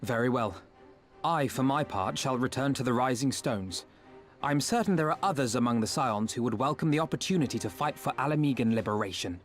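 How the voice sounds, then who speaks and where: An actor playing a composed, formal scholarly adventurer in a video game, speaking in a measured way. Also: male voice, mid-range voice, expressive, faint music behind, natural voice. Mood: composed, resolved. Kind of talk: scripted video game dialogue.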